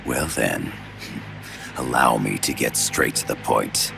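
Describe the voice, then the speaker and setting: Very deep, gravelly old Soviet schemer. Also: male voice, expressive, full character voice. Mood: calculating, grandiose. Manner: measured, deliberate, formal. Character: sly older Soviet KGB professor, prosthetic hand. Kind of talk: video game cutscene voice acting. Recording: music under the voice.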